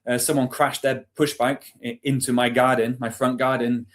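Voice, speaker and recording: A man's voice: mid-range voice, flat delivery, moderate pace. A man in his forties with a British accent, telling stories casually and chattily as an interview guest, with some self-deprecation. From England. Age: 40s. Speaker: interview guest, army veteran. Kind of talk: casual storytelling.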